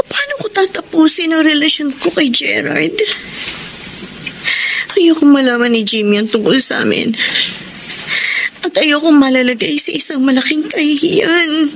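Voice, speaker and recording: A woman's voice: sits high, a little hiss or hum. An actress playing an anguished young engaged woman with a guilty secret, distraught and pleading in a melodramatic radio play. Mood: confused, panicked. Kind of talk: melodramatic Tagalog radio drama acting.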